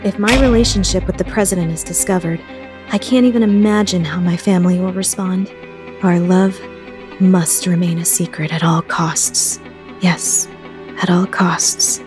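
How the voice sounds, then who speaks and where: A woman's voice: lowish voice, lightly acted. A woman playing a proud teenage rich girl in an anime fandub radio play, with formal, composed speech that breaks into gushing outbursts. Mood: stern, guarded. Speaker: voice actor. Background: music.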